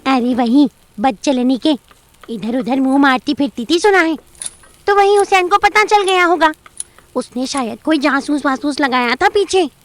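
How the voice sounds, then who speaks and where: A woman's voice: high voice, a little slow. A woman playing a gossipy, suspicious middle-aged mother in an audio drama, colloquial and scolding. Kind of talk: Hindi audio drama acting.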